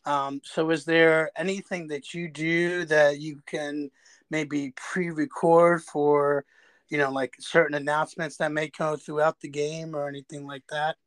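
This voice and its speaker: Male interviewer, somewhat high voice, little pitch movement, casual and agreeable, dry sound. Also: flat delivery, a little slow, fairly bright tone, smooth, no room sound. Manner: agreeable, loosely phrased questions.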